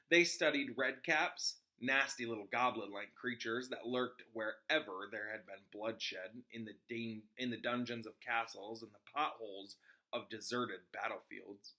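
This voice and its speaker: A man with a bright, crisp-edged tone at a middling pitch reads a children's novel aloud like a classroom teacher. His delivery is informal, moving between narration and casual explanations for his listeners. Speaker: teacher reading aloud to students.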